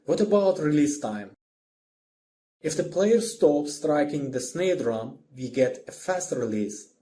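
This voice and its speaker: A man's voice: a little high, expressive, slow pace, dark tone, slight background noise. A man narrating a scripted lesson as a music instructor, explaining step by step in simple phrases.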